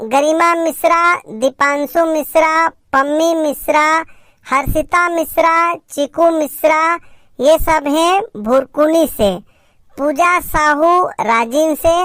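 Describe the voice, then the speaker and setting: Woman presenter, Chhattisgarhi accent, high pitch, viewer shout-outs, dry close sound. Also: dry recording. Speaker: channel presenter.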